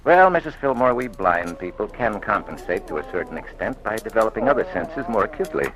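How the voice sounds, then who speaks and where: An actor playing a calm, courteous, firm blind detective in an old radio drama, speaking in a measured, direct way. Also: male voice, fairly low pitch, poor audio. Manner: measured, matter-of-fact, direct. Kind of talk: 1940s radio drama acting and first-person narration. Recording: old radio recording with music.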